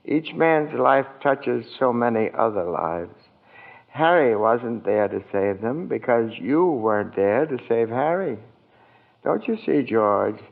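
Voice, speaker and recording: An actor playing a kindly, earnest old angel who gets flustered, in 1940s radio drama style. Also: male voice, mid-range voice, vocal fry, big-room sound. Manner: earnest, deferential, easily flustered. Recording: tinny old radio broadcast, music behind.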